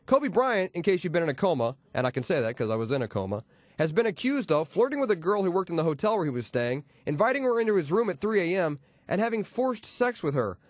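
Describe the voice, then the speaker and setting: A man's voice: high-pitched, very animated, fast talker, dry recording. A man hosting a comedy radio show, delivering jokes and station patter in a punchy, wisecracking way on a close studio microphone. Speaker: radio host and DJ.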